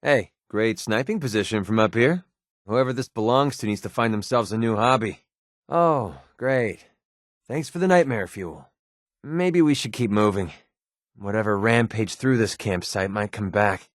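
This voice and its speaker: An actor playing a cynical, wisecracking young mercenary in a video game, with an American accent and a sarcastic, streetwise manner. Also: male voice, on the low side, normal speed, no room sound, studio quality, close to an everyday voice. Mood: wry, uneasy. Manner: sarcastic, streetwise, casual delivery. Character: cynical, wisecracking young male mercenary sniper in a post-apocalyptic wasteland. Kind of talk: video game companion dialogue.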